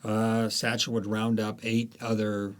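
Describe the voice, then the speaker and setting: Male author guest, lowish voice, rambling storyteller with lots of "uh"s. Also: monotone. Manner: storytelling, many pauses and fillers. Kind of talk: interview, recounting history and plot.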